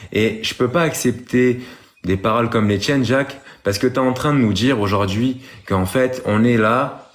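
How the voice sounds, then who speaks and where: A man taking part in a casual live debate, speaking informally and earnestly and calling the others brother. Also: medium pitch. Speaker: live stream participant, believer.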